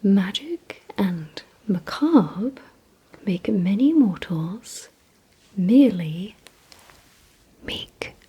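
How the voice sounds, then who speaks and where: Breathy whispering ASMR woman, slow and deliberate. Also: mid-range voice, lively intonation, low-quality recording. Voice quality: breathy, mostly unvoiced whisper. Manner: slow, deliberate, hushed delivery with short pauses. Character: calm, softly commanding female vampire examiner. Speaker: ASMR performer. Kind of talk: whispered ASMR roleplay, giving instructions and word games.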